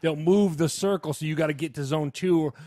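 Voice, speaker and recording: A man's voice: a little high, fairly quick, mellow, no room sound, studio quality. A man co-hosting a podcast in casual chat, chipping in with wry, bantering reactions. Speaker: podcast co-host.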